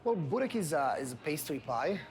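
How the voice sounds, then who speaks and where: A man from Belgrade, chatting casually as a guide, friendly with many pauses. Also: fairly high pitch, sing-song, music in the background. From Serbia, Belgrade. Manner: friendly, hesitant with fillers. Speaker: guest, local guide. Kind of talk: casual chat.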